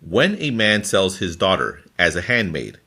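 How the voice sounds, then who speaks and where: A man reading scripture from a formal translation in a deliberate, phrase-by-phrase manner. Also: sits low, big pitch swings, background noise. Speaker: scripture reader.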